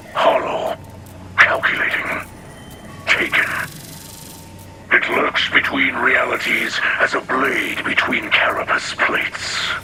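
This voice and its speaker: A man playing a solemn alien leader in a game cutscene, with a breathy rasp and halting, formal phrases. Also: medium pitch, noisy background, lightly acted. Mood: grave, wary. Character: solemn alien insectoid splicer leader, male. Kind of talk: video game cutscene acting. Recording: music behind the voice. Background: music.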